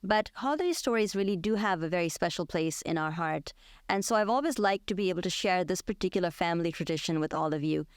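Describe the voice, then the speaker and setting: A woman host with a lowish, smooth and resonant voice chats casually on air with plenty of fillers. The sound is close and dry. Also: fast talker, no echo. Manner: chatty, with frequent fillers. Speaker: radio show host.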